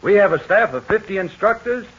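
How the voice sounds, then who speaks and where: A man's voice: high voice, expressive, fairly quick, slightly rough. A polite, earnest young man from North Carolina, answering a scripted radio interview on an old recording. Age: young adult. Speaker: guest student pilot. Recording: dull old radio transcription with faint hiss.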